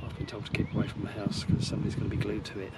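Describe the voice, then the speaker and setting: A man, chatting casually to camera as an angler vlogger, relaxed and rambling. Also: on the low side, racing, recorded from a distance, very noisy. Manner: relaxed, rambling, talks to the fish. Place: outdoors by a lake. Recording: loud outdoor ambience. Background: loud outdoor ambience and water.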